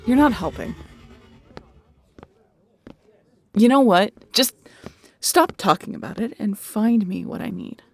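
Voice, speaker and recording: A woman voicing a snarky, excitable young scientist in an audio roleplay, chatty and nerdy. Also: medium pitch, normal speed, gravelly, no room sound. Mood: exasperated. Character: snarky, excitable young female scientist aboard a pirate ship. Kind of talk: audio roleplay acting addressed to the listener.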